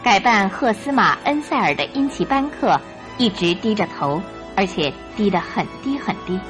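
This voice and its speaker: A woman's voice: mid-range voice, sing-song, normal speed, over music. A woman narrating a Chinese radio drama in a scripted, dramatic storytelling style.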